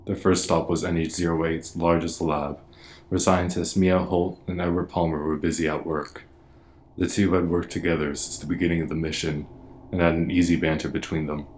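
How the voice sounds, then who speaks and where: A man's voice: deep voice, level tone, moderate pace, a little mellow, slight background noise, close to an everyday voice. A man playing the sober narrator of a scripted radio play. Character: sober male storyteller of a space mystery.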